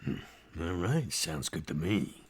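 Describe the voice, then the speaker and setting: A man playing an easygoing, streetwise male vampire in a tabletop roleplay, slangy, wry and husky. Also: low voice, big pitch swings, unhurried, exaggerated voice. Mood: wry, casual.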